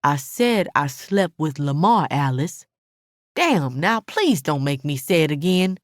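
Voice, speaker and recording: A woman's voice: low voice, expressive, measured pace, dry recording, clean studio sound. A woman playing a guilty woman in a dramatic audiobook, imploring and placating her friend. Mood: guilty, defensive. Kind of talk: dramatic audiobook character dialogue.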